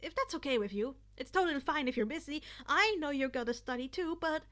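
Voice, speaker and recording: Sheepish schoolboy acted by a woman: nervous, high and bright, tripping over words. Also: expressive. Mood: nervous, grateful. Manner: halting, stammering, sheepish. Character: Kaminari, nervous, grateful teenage boy classmate. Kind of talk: acted fan fiction audiobook dialogue.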